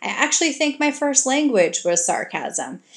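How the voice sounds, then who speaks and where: A woman giving relationship advice to her audience in an earnest, gentle coaching way, now and then acting out sweet example lines to a partner. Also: high-pitched, big pitch swings, moderate pace. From grew up in a Greek family.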